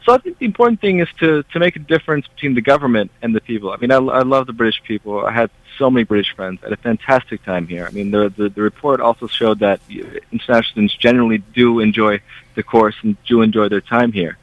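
A man's voice: mid-range voice, quick pace, dry recording. A man from Brazil who grew up in the United States, a student union officer, speaking earnestly in a radio interview. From Brazil, raised in the United States. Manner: earnest, emphatic. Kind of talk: radio interview over a line. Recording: dull lo-fi line.

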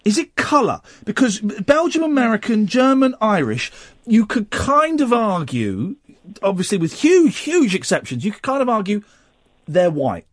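Male radio host, rambling and stammering, lively and up-and-down, slightly high voice. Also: normal speed, no room sound. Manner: rambling, stammering restarts, musing questions. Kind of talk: casual radio chat.

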